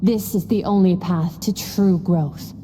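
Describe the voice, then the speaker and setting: A woman playing a stern, aloof master swordswoman in a video game, lecturing in a cool, measured, terse manner. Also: fairly low pitch, in a large hall, noisy background, lightly acted. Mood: calm, exacting. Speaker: sword instructor. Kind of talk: scripted video game voice acting.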